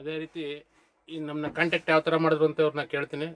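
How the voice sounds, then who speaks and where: A man's voice: a little high, level tone, racing. A man presenting a casual vlog as host and audio installer.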